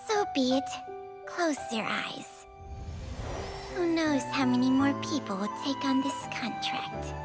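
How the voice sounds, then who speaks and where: High, drawling, weary snake spirit. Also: female voice, lively intonation, takes it slowly. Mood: weary, resigned. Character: world-weary female talking snake spirit, wry and resigned. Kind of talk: scripted video game voice acting. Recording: clear music behind the voice.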